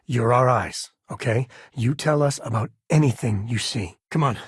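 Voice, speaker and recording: An actor playing an easygoing middle-aged frontier ranger and dad in a space video game, husky and raspy, with a wry, plain-spoken manner. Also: male voice, medium pitch, moderate pace, no echo, clean studio sound. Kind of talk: video game character dialogue. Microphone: close, dry studio mic.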